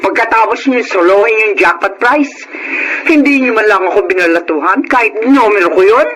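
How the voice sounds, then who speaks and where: A woman's voice: medium pitch, expressive, normal speed, a bit bright, close to an everyday voice. A woman with a Filipino accent playing a sneering, grudge-holding aunt in a radio drama. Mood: scornful gloating. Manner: sneering, taunting. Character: spiteful, grudge-holding aunt, scornful and unforgiving. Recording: noisy radio drama recording.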